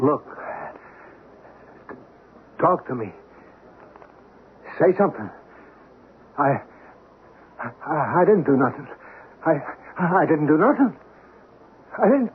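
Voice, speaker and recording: Desperate working stiff, tinny radio sound. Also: male voice, on the high side. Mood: shocked, panicky. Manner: blunt, insistent, urgent. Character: desperate working-class husband, menacing yet rattled. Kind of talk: 1970s radio drama acting. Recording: phone-like, noisy vintage radio recording.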